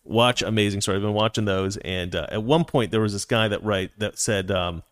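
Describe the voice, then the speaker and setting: A man's voice: fairly low pitch, brisk, no room sound. Brief: man, 40s, stream host chatting with viewers, rambling with false starts, close dry mic. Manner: rambling with restarts, reads comments aloud. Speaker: livestream host reading chat. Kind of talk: casual livestream chat.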